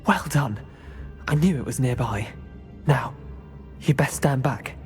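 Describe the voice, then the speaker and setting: An actor voicing a calm, grateful captive male wizard in a video game cutscene. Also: mid-range voice, unhurried, faint music behind, natural voice.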